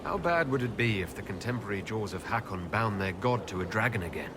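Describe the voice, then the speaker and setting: An actor voicing a composed male elf hero in a video game, asking questions in a measured way. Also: on the low side, lively intonation, background noise, natural voice. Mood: serious. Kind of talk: video game dialogue acting.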